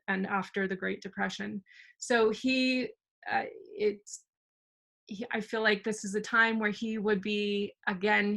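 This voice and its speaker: A woman's voice: medium pitch, gravelly, a bit bright. A young woman, a documentary filmmaker, telling a historical story at an online community meeting over a video call, earnest and full of fillers.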